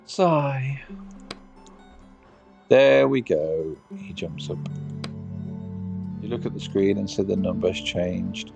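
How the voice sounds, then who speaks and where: A man live-streaming a game, reading the text aloud haltingly and muttering casual asides as he plays. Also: deep voice, creaky, a little mellow. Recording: music behind the voice.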